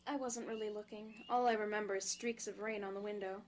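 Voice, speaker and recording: A woman's voice: medium pitch, fairly even intonation, quick pace, natural voice. A woman playing a teasing, easygoing young houseguest in an audio drama, with a playful, conversational manner. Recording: clear background noise.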